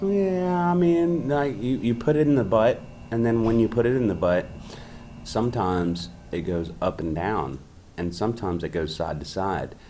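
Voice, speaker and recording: A man's voice: lowish voice, moderate pace, dark tone, slight background noise. A man co-hosting a live stream in casual chat, joking and playful.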